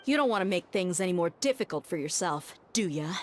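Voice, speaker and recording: A woman's voice: on the low side, expressive, fairly quick, soft background music, close to an everyday voice. A woman playing a cool, tough adventurer in a video game, with a dry, casual delivery. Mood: wry. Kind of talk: video game cutscene acting.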